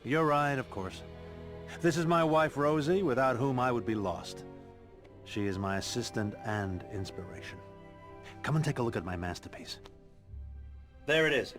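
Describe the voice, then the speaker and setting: A man plays a middle-aged inventor in a video game, proud and absorbed in his work. His mid-pitched voice lectures expressively, with big rises and falls. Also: lively intonation, moderate pace, pauses often, fairly bright tone, soft background music, close to an everyday voice.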